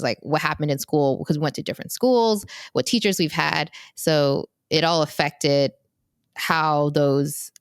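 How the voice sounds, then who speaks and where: A woman's voice: deep voice, dry recording, studio quality. A young adult woman from the United States co-hosting a podcast with her siblings, talking in loose, rambling sentences full of fillers and restarts. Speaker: podcast co-host. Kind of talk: casual chat among siblings.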